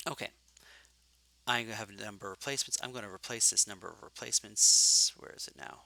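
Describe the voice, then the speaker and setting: A man walks through code in a live lecture, talking his way through each step and catching his own slips. His voice is low, with a gravelly fry and a sharp, tense brightness, and it sounds worn and hoarse. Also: fairly even intonation, quick pace, rough recording. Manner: thinking aloud, self-correcting. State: getting hoarse. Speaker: programming lecturer.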